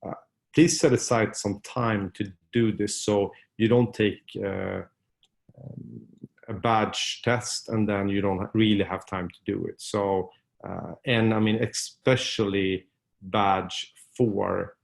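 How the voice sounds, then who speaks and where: Gravelly-voiced Swedish man hosting a webinar. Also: lowish voice, fairly even intonation, slow pace. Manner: loose and conversational, many fillers and restarts. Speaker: webinar host. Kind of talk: casual screen-share webinar walkthrough.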